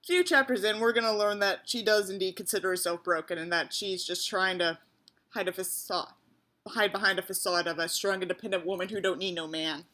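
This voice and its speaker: A woman's voice: medium pitch, fairly even intonation, brisk, bright, forceful tone. A woman reading a story aloud as a narrator, breaking in with mocking, sarcastic asides and casual swearing. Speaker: audiobook reader and commentator.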